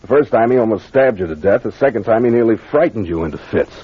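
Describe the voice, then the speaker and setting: Assured old-time radio police captain, swooping intonation. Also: male voice, fairly low pitch, animated, husky, no room sound. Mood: explaining. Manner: brisk, assured, explanatory. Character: confident male police captain explaining a solved mystery. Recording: dull vintage radio recording.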